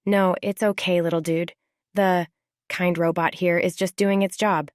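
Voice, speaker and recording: A woman voicing an eager, polite teenage girl in an audio story, earnest and eager to smooth things over. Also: on the low side, flat delivery, unhurried, dry recording, clean studio sound, close to an everyday voice. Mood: nervous, placating. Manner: earnest, placating. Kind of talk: scripted audio story character.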